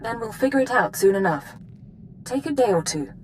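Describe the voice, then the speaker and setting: An actress playing a brisk, caring intelligence dispatcher in a video game, efficient and formal but concerned. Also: female voice, lively intonation, a little mellow, natural voice, poor audio. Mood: reassuring. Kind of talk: dramatic game dialogue. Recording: rumbling noise behind.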